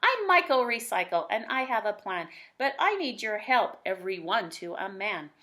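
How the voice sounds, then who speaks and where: Lady doing a kid superhero from a picture book, earnest rallying speech, really lively. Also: mid-range voice, normal speed. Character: earnest young male eco superhero in a green cape. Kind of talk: children's storybook character reading.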